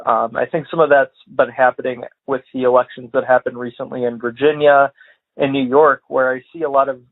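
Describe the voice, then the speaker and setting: A man's voice: mid-range voice, level tone. Man from Illinois on a tinny phone line. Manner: measured, hesitant, many fillers and hedges. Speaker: caller. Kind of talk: voicemail commentary.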